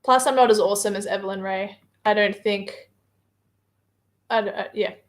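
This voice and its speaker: A woman's voice: medium pitch, steady tone, moderate pace. Hesitant Australian woman chatting on a livestream. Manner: hesitant, many filler words, answers viewer comments. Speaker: livestream guest reading viewer chat. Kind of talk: casual livestream chat.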